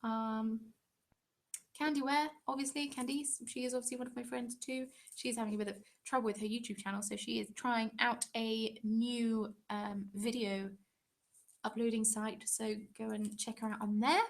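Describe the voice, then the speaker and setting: Chatty English woman, slightly high voice. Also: level tone, moderate pace, gravelly. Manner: chatty, rambling, frequent ums. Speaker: craft channel host. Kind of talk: casual live-stream chat.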